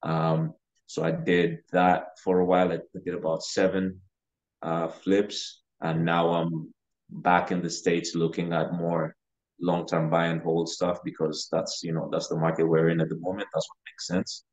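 A man's voice: low voice, completely flat delivery, a little slow, a little mellow. A man in his thirties from Uganda, chatting casually as a podcast guest with hesitant, you-know-filled phrasing over a video call. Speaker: podcast guest, real estate investor. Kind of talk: casual interview chat. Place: living room, on a video call. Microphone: video call, sitting back from camera. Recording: dull, lo-fi video call audio.

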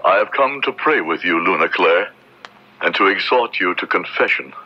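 An actor playing a stern, pitiless Puritan minister in a radio drama, solemn and exhorting in formal speech. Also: male voice, low voice, animated, normal speed. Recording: dull, noisy old radio drama recording.

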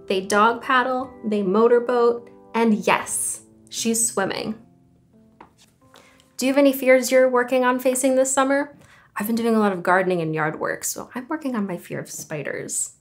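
Casting: young woman, slightly high-pitched, animated kids' picture-book reader, faint music behind. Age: young adult. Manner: animated, clearly enunciated storytelling with questions to young listeners. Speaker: children's story time host and reader. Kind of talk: reading picture stories and poems aloud to children. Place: small indoor room at home.

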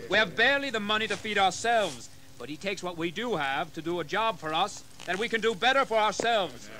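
An actor playing a bold, ambitious colonial rebel leader in a history radio drama, speaking in a proud, formal, declamatory manner. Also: male voice, high-pitched, animated, brisk, bright, forceful tone, a little hiss or hum. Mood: rousing. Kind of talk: dramatized history audio acting.

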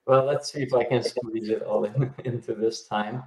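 A man's voice: medium pitch, steady tone, moderate pace, darkish tone, reverberant. A middle-aged man from Yugoslavia, a healer guest explaining his work over a video call, with many fillers and restarts. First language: Hungarian. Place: indoor home room.

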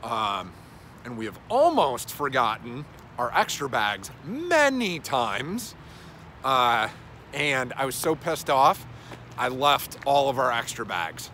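A man's voice: medium pitch, very animated, slow pace, bright, forceful tone, slight background noise. A man in his thirties with a runny nose rambles profanely through a casual walking vlog, filmed on a phone at arm's length on a suburban street at dusk. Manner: rambling, profane, many uh fillers. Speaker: gym owner vlogger. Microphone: phone camera held at arm's length.

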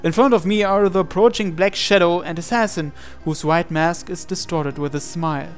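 A man's voice: high voice, moderate pace. A man reading a visual novel aloud as a let's player, narrating it dramatically over music. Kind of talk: dramatic read-aloud narration of on-screen text. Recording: music behind the voice.

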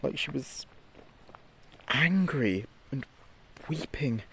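An actor playing a frightened late-night radio DJ giving a halting, haunted field report in a horror audio drama. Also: male voice, mid-range voice, lively intonation, vocal fry, a bit bright, full character voice. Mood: disturbed, halting. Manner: halting, hesitant, haunted delivery. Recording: noisy outdoor field recording with ambience.

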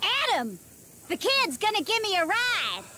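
Bossy TV diva, video game character, slow drawn-out delivery, very animated, sassy, condescending, theatrical. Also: female voice, extremely high pitch, background noise, heavily acted. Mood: impatient, indignant. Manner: sassy, theatrical, condescending with sugary pet names. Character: vain, bossy, brash celebrity TV show hostess.

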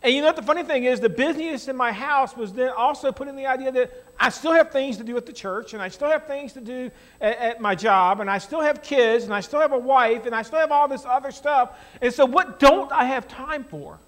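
High-pitched American man preaching. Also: a little fast, few pauses. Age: middle-aged. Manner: animated, emphatic, with rhetorical questions and repeated phrases. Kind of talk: conversational sermon. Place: church stage at a lectern, indoors.

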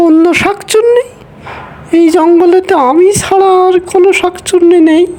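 A woman voicing a greedy, bad-tempered female ghost in a cartoon fairy tale, with a theatrical, threatening, drawn-out delivery. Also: very high voice, warm tone. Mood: scornful, threatening.

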